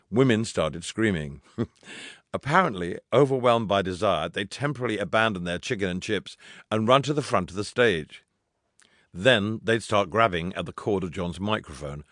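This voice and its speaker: A man's voice: fairly low pitch, fairly bright tone, no echo, studio quality. A man narrating a memoir audiobook in a scripted read, with wry, dryly humorous storytelling.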